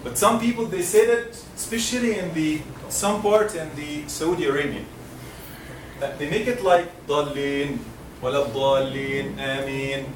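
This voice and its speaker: A man from Egypt leads a classroom lesson, drilling sounds slowly so students can echo them. His voice sits a little high. He is recorded from a distance in a reverberant, noisy room. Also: steady tone. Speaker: Quran recitation teacher. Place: lecture classroom. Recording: roomy classroom, mic far off, noisy.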